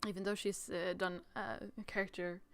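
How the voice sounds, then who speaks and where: A woman's voice: lowish voice, level tone, normal speed, a bit bright, smooth, no room sound. A woman chatting and thinking aloud hesitantly while she plays a game demo on a livestream. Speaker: streamer playing a demo, guest. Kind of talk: livestream playthrough chat with read-aloud dialogue.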